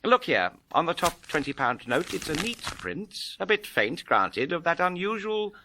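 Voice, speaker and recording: A man playing a fast-talking, cheerfully tough adventurer detective with cocksure, wisecracking banter in a tongue-in-cheek radio drama. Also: a little high, big pitch swings, noisy background. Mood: cocksure, taunting.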